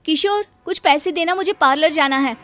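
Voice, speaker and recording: A woman's voice: sits high, fairly quick, fairly bright tone, faint music behind, voice pushed up. A woman voicing a demanding, spoiled young sister who whines and nags in a cartoon story. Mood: wheedling. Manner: petulant, nagging.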